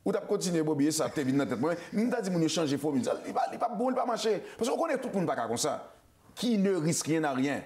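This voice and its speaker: Haitian guy hosting a chat show, rattles along fast, trips over words, repeats himself. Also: fairly high pitch, big pitch swings, a little mellow. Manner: fast, repetitive, stammering restarts, mixes Creole and English. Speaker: show host. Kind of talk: casual talk-show chat.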